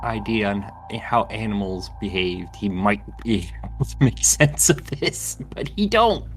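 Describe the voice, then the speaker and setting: A man's voice: fairly low pitch, lively intonation, unhurried, a bit bright, slight background noise. A man talking casually as a player in a tabletop role-playing game, working out his character's moves aloud.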